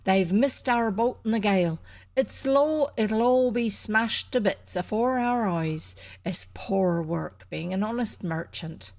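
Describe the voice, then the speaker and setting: A woman's voice: sits high, steady tone, slow pace, few pauses, voice pushed up. A woman voicing a gloomy male smuggler from old England in a storybook, muttering grimly in dialect.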